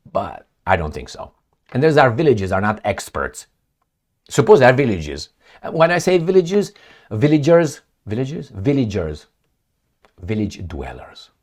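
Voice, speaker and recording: A man from Romania, giving rambling, sarcastic solo commentary on news reports, full of fillers and restarts. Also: mid-range voice. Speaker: political commentator. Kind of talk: casual solo commentary reading news aloud.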